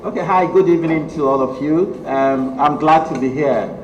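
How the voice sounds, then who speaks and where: Man, Ghanaian accent, middle-aged, guest lecturer, measured delivery, roomy hall sound. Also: a little high, highs cut off. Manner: measured, with frequent ums. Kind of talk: public lecture. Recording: echoey hall, faint noise.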